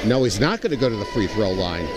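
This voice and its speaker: A man's voice: fairly low pitch, very animated, fast. A man giving live basketball analysis as a color commentator, explaining and restating his points for emphasis. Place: booth at an indoor basketball game. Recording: crowd noise behind the commentary. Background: clearly audible crowd.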